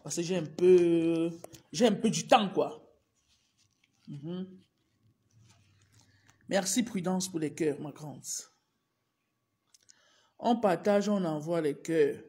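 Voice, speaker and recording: Slow-talking woman with a cold. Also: on the low side, mellow. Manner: repetitive, urging viewers over and over. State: has a cold, clears throat and coughs. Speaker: teacher livestreaming to followers. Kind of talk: casual live-stream address.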